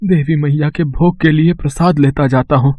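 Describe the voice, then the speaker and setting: A man's voice: on the high side, fairly even intonation, moderate pace, dull audio, close to an everyday voice. A man playing a sorrowful, devout rickshaw puller in a cartoon moral story, plaintive and weary. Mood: weary, sad.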